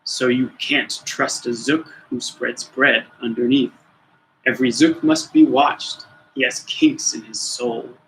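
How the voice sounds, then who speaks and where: A man's voice: mid-range voice, fairly even intonation, slow pace, a bit bright, a little hiss or hum, low-quality recording. Man voicing a grave, suspicious old grandfather. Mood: grave, indignant.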